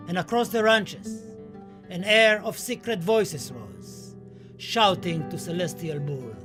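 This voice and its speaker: A man's voice: high-pitched, voice pushed up, full character voice. A man playing an impassioned, grieving Spanish poet in a radio play, reciting in a solemn, dramatic manner. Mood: anguished, impassioned. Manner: solemn, dramatic, swelling from hushed to declaimed. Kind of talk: theatrical radio play monologue and poetry recital.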